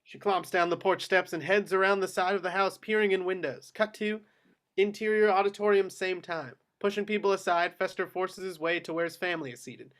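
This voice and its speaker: A man with a high voice reads out stage directions. It is scripted narration for a table read of a screenplay. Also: fairly even intonation, brisk, a bit bright.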